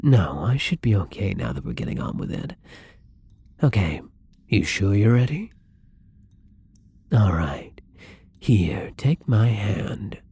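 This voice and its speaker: A very husky, breathy vampire acted by a man, newly turned and tender, in an intimate ASMR roleplay. The delivery is slow and halting, with gravelly fry at the edges and soft drops into whispering. The temper is gentle, careful and unsure. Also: fairly low pitch, sing-song, no room sound, exaggerated voice. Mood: nervous, gentle.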